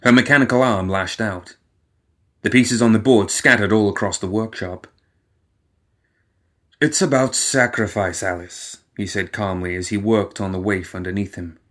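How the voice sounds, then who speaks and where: A man reading a fiction story aloud as an expressive audiobook narrator. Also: on the low side, moderate pace, soft background music. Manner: expressive, takes on characters' inner voices.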